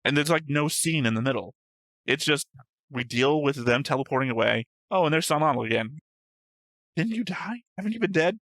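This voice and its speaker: A man with a bright, forward voice chats casually while reading through notes, stopping often to correct himself and swearing now and then. He is recorded dry and close on the mic, with no room sound. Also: a little high, lively intonation, fairly quick, dry recording. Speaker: reaction show host reading notes.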